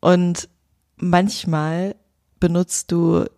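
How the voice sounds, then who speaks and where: A woman co-hosts a casual podcast and talks in a frank, chatty way, laughing often. Her voice has a gravelly edge and sounds dry and close to the mic. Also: lowish voice, little pitch movement, very slow, dark tone, no room sound. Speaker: podcast co-host.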